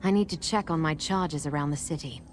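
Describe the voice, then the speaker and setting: An actress playing a guarded, urgent masked woman who protects people from cultists in a fantasy video game, speaking in an earnest, measured way. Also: low voice, close to an everyday voice. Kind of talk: video game character dialogue.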